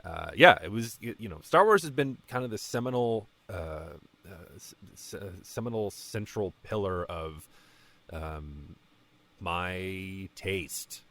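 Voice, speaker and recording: Low-voiced young man, halting and rambling. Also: expressive, a bit bright. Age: late 20s. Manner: halting, rambling, self-deprecating. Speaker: voice actor, podcast guest. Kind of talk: casual conversation about a fan project.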